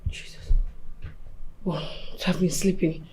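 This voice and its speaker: A woman's voice: lowish voice, steady tone, takes it slowly, very noisy. A sleepy, apologetic but defensive girlfriend in a film drama. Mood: sheepish, defensive.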